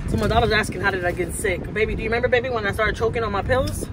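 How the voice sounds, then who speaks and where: A woman in her thirties with a Texas accent talks fast and rambles to her phone camera while driving, repeating herself. Her throat is a little sore. Loud road noise hums around the car. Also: medium pitch, steady tone. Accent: Texan. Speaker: vlogger. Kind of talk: casual chat to camera. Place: inside a car. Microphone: phone held at arm's length.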